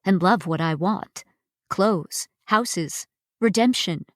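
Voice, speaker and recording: Female poetry reader: mid-pitched, very measured, deliberate, expressive delivery. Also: no echo, studio quality. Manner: deliberate, expressive, pausing between phrases. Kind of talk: dramatic poetry recitation.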